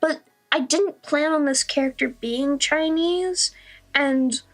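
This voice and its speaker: A woman's voice: high voice, faint music behind, rough recording. A young woman streamer from the American Midwest with a mostly neutral American accent and traces of Southern-Midwestern. She chats casually and rambles a bit, teasing herself and laughing often. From Midwestern United States. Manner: rambling, chatty, self-deprecating, quick to laugh. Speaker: streamer and digital artist. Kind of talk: casual livestream chat.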